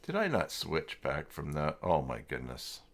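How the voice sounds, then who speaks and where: A man hosting a casual live software tutorial, talking informally and haltingly as he thinks aloud while chatting with viewers. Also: a bass voice, wildly swooping pitch, creaky. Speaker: livestream host demonstrating website tools.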